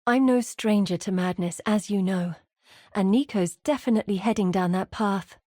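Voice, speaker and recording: A woman acts out an earnest, guarded female guide from a fantasy video game. Her mid-pitched voice is measured and articulate, each line delivered seriously. The recording is dry and close, with no room around it. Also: warm tone, no room sound, lightly acted. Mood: concerned. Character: earnest, guarded woman, mapmaker guiding an exile through dangers. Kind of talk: scripted video game character dialogue.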